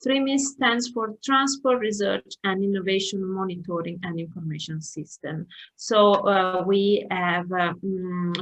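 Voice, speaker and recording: A middle-aged woman, a transport economist, introducing herself on an online panel over a video call, pausing often with fillers and restarts. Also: mid-range voice, low-quality recording. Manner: hesitant, many fillers and restarts.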